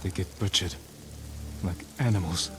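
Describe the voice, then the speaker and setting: An actor playing a stern, honorable samurai lord in a video game cutscene, terse, grave and weary. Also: male voice, sits low, moderate pace, close to an everyday voice. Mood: resolute. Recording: music behind the voice.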